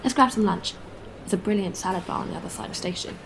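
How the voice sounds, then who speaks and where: Candid female spacefarer, mid-pitched, played by a woman. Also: quick pace, creaky, noisy background, natural voice. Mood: friendly, curious. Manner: casual, conversational. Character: candid, weary but friendly female spacefarer. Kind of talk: sci-fi audio drama acting.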